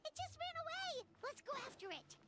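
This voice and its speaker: A woman voicing a tiny, childlike fairy companion in an anime-style video game, squeaky, chirpy, inquisitive and exclamatory. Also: big pitch swings, a little fast, bright, forceful tone, full character voice. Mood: curious, puzzled. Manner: exclamatory, speaks of herself in third person. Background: game music.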